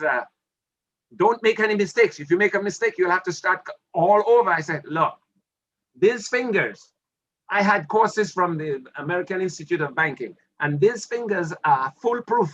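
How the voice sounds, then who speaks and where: A man's voice: high-pitched, highs cut off. An older man from Dominica with a Dominican accent, telling stories from his army career in an interview and acting out the dialogue. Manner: animated storyteller who acts out quoted exchanges. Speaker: interview guest, retired army officer. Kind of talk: storytelling interview.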